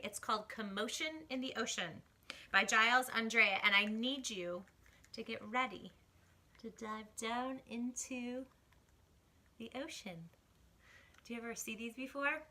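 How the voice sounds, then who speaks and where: A woman with a high, very bright voice hosts a storytime for children. She reads rhymes aloud expressively, with animated, dramatic delivery. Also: moderate pace. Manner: animated, exclaiming, dramatic hushes. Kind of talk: expressive read-aloud of a rhyming picture book for children.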